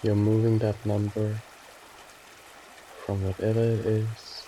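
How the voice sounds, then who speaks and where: A man narrating a guided meditation in a soothing, gently instructing way, with short phrases and pauses between them. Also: on the low side, completely flat delivery, slight background noise, poor audio.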